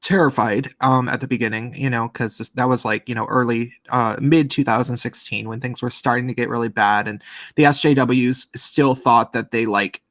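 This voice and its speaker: A man's voice: medium pitch, fairly even intonation, normal speed, fairly bright tone, no echo. Nasal-voiced man, rambling casual talk. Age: late 30s. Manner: rambling, with frequent restarts. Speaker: podcast host. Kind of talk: casual livestream chat.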